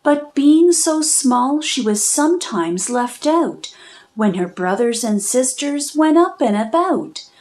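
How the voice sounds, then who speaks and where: A woman reading a children's storybook aloud, narrating expressively in measured, rhythmic rhyme. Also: high voice, lively intonation, a little mellow. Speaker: children's book reader and host.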